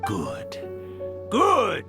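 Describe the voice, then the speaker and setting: A man's voice: on the high side, wildly swooping pitch, very slow, vocal fry, over music, heavily acted. A man playing the theatrical, playful spirit of an old author in a video game, grandiose and teasing. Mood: menacing, grandiose.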